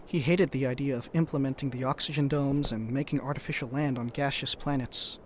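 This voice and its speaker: An actor playing a loyal young crewman in an audio drama, speaking in a measured, earnest, reassuring way. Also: mid-range voice, fairly even intonation, a little hiss or hum, close to an everyday voice. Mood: somber.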